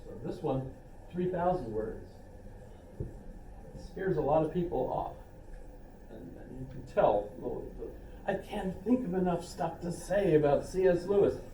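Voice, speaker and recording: An older male instructor lectures casually, wandering into asides and poking fun at himself. His voice is deeply dark and mellow. It is picked up from a distance in a reverberant classroom with faint background hiss. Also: animated, measured pace, pauses often. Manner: rambling, self-deprecating, with asides and restarts. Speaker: philosophy instructor. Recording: echoey classroom recorded from a distance.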